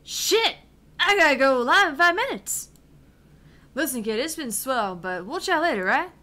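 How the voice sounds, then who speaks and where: Woman playing a brash, animated arcade streamer gal. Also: sits high, normal speed, voice pushed up, heavily acted. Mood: brash, cocky. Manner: taunting, theatrical, emphatic. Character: brash, cocky, foul-mouthed woman arcade game streamer. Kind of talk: visual novel read aloud in character.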